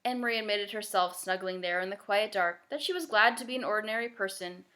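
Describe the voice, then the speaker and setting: A woman narrating a children's novel as a scripted, expressive read-aloud. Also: medium pitch, quick pace.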